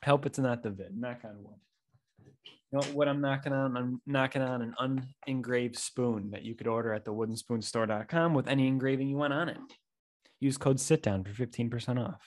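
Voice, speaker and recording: An eager young man in his twenties, hosting a casual podcast interview into a desk mic in a small room. His middle-pitched voice is a little under the weather, and he talks in an excited, chatty way. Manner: upbeat, fast chatty delivery. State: a little sick. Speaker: podcast host. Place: small indoor room, plain wall. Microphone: desk microphone in frame.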